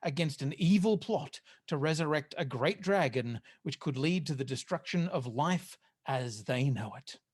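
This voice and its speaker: Woman chatting on a podcast panel, really animated up and down voice. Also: low voice, normal speed, hardly any pauses, husky. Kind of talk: casual panel discussion.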